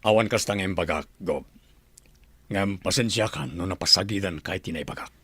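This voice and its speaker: Principled middle-aged general turned candidate, Filipino accent, radio drama. Low, rough-edged, measured and firm. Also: male voice, bright, forceful tone, no echo, exaggerated voice. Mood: earnest.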